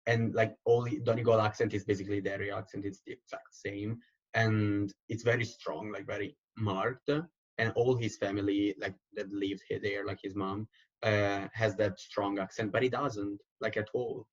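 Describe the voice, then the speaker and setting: Young Italian-accented man, lowish voice, relaxed host, muffled lo-fi audio. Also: moderate pace. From Italy. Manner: relaxed, lots of "like". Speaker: podcast host. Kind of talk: casual conversation. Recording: slightly dull, lo-fi sound.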